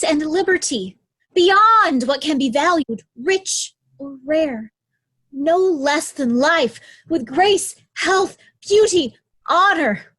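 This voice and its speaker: Casting: actress as effusive royal daughter, high voice, extremely slow declamation, classical stage verse. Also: female voice, fairly bright tone, dry recording, exaggerated voice. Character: flattering eldest princess professing grand love. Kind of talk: Shakespearean reader's theatre.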